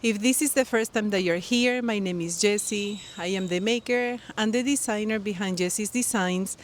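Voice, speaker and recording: A woman's voice: mid-range voice, moderate pace. Colombian woman chatting by a pool. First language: Spanish. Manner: friendly, rambling, frequent fillers and restarts. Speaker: knitting podcast host. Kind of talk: casual vlog chat to camera. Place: outdoors by a pool near the beach. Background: birds and people nearby.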